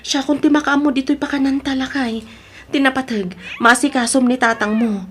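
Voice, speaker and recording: Woman acting supportive wife, fairly high voice, measured, earnest, audio drama, faint background noise. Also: steady tone, close to an everyday voice. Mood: concerned. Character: earnest, supportive wife urging her husband.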